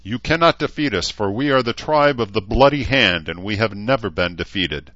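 A man voicing a boastful male orc chieftain who shrieks his replies in a high, screeching voice. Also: expressive, normal speed, a bit bright, no echo, dull audio. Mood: shrieking defiance. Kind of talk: audiobook character voice.

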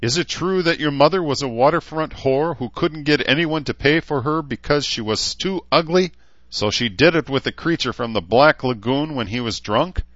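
A man voicing a bold young knight on horseback who shouts challenges and taunts at his foes. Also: fairly low pitch, lively intonation, measured pace, no echo, dull audio, pitched above the performer's own voice. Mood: taunting. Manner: loud, challenging, mocking. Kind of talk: audiobook character voice.

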